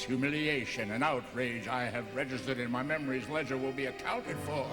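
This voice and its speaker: A man's voice: mid-range voice, gravelly. An actor playing a vengeful Venetian nobleman who narrates a gothic audio drama, theatrical and brooding, seething beneath sly false courtesy. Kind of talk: melodramatic gothic radio drama narration and dialogue. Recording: music under the voice.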